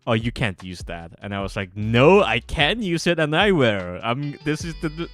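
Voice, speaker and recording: A man giving casual livestream commentary as a game developer, halting and repeating himself excitably. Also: mid-range voice, no room sound, clean studio sound. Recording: music behind the voice.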